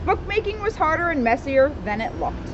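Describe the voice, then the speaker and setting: A woman's voice: high voice, sing-song, fairly quick. A woman reading a children's storybook aloud with casual asides, recorded outdoors. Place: outdoors in a town street. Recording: dull outdoor recording with loud street noise.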